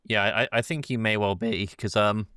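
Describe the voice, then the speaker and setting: Male co-host, fairly low voice, dry sound; informal, keeps a casual film discussion moving. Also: steady tone, moderate pace, fairly bright tone, smooth, no room sound. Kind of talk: casual film chat with facts.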